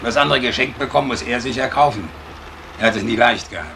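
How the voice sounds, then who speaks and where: An actor playing a guarded, long-serving scrapyard worker in a radio crime drama, answering in a dry, hesitant, matter-of-fact way. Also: male voice, medium pitch, fast talker, bright, forceful tone. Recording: clearly noisy, outdoor-like ambience.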